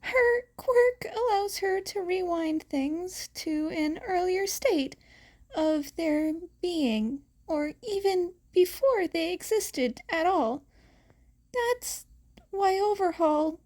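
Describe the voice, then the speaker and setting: A woman's voice: very high-pitched, lively intonation, slow pace, darkish tone, pitched above the performer's own voice, exaggerated voice. A woman voicing a nervous, apologetic teenage boy vigilante who speaks hesitantly in an audiobook-style story. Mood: anxious, reluctant. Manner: hesitant, stammering.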